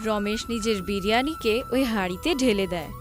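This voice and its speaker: A woman's voice: mid-range voice, moderate pace, music in the background. A woman giving scripted storybook narration for a cartoon.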